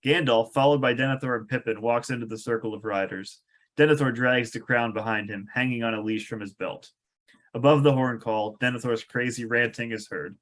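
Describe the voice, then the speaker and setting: A man's voice: medium pitch, brisk, a bit bright. A man reading screenplay stage directions aloud at a table read, with an amused, sardonic manner. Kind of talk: table read of a film script with wry asides.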